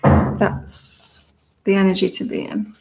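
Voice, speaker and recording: A woman's voice: takes it slowly, darkish tone, rough recording. A middle-aged woman from the UK hosting a casual live psychic chat, rambling and repeating herself as she reads out viewer comments. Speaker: psychic livestream host.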